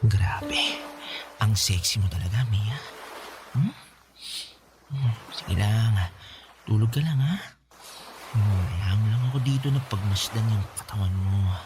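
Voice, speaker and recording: A man's voice: lowish voice, over music, lightly acted. A man playing a lecherous, sleazy creep in a Tagalog audio drama. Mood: leering, hushed. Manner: hushed, leering, drawn-out hums. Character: lecherous sleazy man preying on his girlfriend's daughter.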